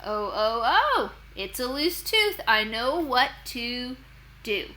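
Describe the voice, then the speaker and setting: A woman's voice: fairly high pitch, very animated, slow pace, bright, forceful tone, slight background noise, exaggerated voice. A woman voicing a confident, overeager male dentist in a children's storybook read-aloud.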